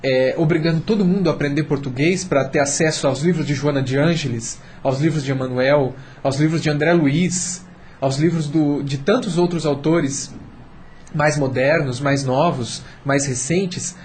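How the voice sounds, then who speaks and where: A man's voice: fairly high pitch, fairly even intonation, normal speed, a little mellow, a little hiss or hum. A Brazilian man explaining earnestly and conversationally as a guest on a radio panel, sometimes posing rhetorical questions.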